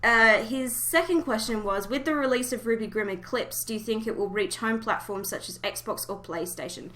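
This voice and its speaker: A woman's voice: a little high, moderate pace, pushed and bright, a little hiss or hum. A woman chatting informally as the host of a casual fan podcast.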